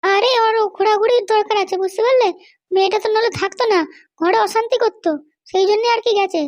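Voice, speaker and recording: A man voicing a bossy, short-tempered middle-aged cartoon wife with a West Bengal accent and a pitched-up voice. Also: fairly even intonation, fast, no room sound. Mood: scolding. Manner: scolding, threatening. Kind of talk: comic cartoon dialogue.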